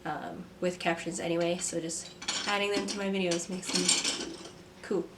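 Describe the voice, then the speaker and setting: A young woman, a mother and artist, talking to camera from a script in a soft, reflective vlog; she sounds stuffy and congested. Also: lowish voice, flat delivery, normal speed, noisy background. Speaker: vlogger, artist. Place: home. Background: kids playing.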